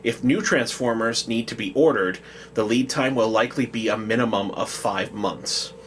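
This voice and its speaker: A man's voice: fairly low pitch, normal speed, a bit bright, a little hiss or hum. A man co-hosting a casual discussion podcast, talking in a hesitant way as he thinks aloud. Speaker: podcast co-host. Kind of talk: casual discussion, at times reading out a quote.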